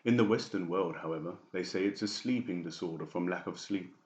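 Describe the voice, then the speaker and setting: A man's voice: low-pitched, fairly quick, a little mellow, roomy sound, highs cut off. A man reading scripted narration for a dramatised audiobook.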